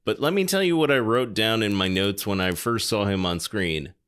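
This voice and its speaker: A man's voice: fairly low pitch, normal speed, a bit bright, smooth. A man hosting a podcast, chatting casually about film in an emphatic, wryly critical way. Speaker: podcast host. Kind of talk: casual film discussion chat.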